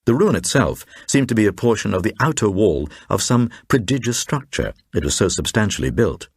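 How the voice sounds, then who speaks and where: A very deep male voice, playing a grave traveller recounting an eerie radio tale. The delivery is deliberate and suspenseful, swinging widely in pitch, with a distinctly husky grain. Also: big pitch swings, no echo, studio quality, exaggerated voice. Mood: uneasy, awed. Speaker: narrator. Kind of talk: dramatised first-person reading of a supernatural horror tale for radio.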